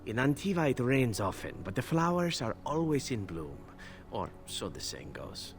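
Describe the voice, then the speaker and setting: An actor playing a charming, flirtatious male elf assassin in a video game, with a smooth, teasing delivery. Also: mid-range voice, very animated, normal speed. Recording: game audio with faint noise.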